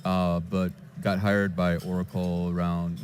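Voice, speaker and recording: A man from Denmark, a database developer answering interview questions in a conference lounge, with frequent halting restarts. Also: sits low, little pitch movement, a little mellow, slight background noise. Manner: hesitant, with many restarts and fillers.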